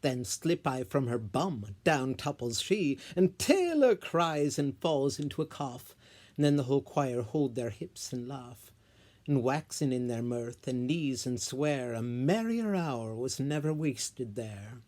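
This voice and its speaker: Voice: medium pitch, expressive, a little slow, darkish tone, close to an everyday voice. A solo reader voicing a merry, boastful male sprite in theatrical Shakespearean verse. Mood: gleeful boasting. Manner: theatrical, storytelling delivery.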